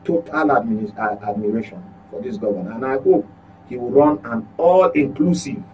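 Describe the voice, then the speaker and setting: A man's voice: medium pitch, normal speed, dark tone, lo-fi sound, slight background noise. A Nigerian man giving unscripted political commentary as a studio guest, repeating words for emphasis. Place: radio studio.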